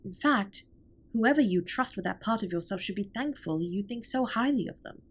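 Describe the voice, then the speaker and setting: Husky, formal alien captain, voiced by a woman. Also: medium pitch, expressive. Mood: firm, reassuring. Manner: formal, measured, precise wording with few contractions. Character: formal, caring alien spaceship captain, earnest and protective. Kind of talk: scripted roleplay audio drama, intimate comfort monologue.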